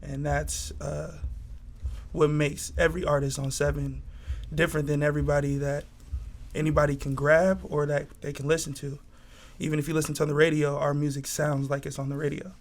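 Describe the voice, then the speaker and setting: Gravelly laid-back man in his twenties. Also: on the high side, level tone, fairly quick. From Southern California. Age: 20s. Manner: laid-back, loose slang, many fillers. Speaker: record label head, guest. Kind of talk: casual interview talk.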